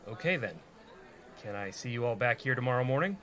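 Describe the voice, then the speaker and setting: An actor playing a reluctant, gruff rogue leader in a comedic fantasy radio play, with a dry, businesslike manner. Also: male voice, mid-range voice, expressive, fairly quick, bright, forceful tone, a faint crowd. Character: gruff, reluctant male rogue leading an adventuring party.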